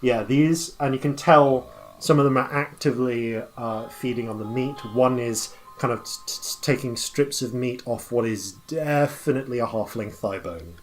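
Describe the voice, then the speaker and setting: A man running a tabletop game as game master, describing scenes off the cuff and haltingly as he thinks aloud. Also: mid-range voice, faint music behind. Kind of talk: improvised narration of a tabletop game.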